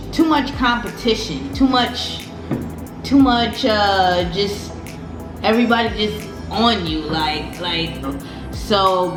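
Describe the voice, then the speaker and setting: A woman's voice: mid-range voice, echoey room. A woman, chatting casually in a story-time vlog, slangy and repeating her phrases. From Brooklyn, New York City. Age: 30s. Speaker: vlogger.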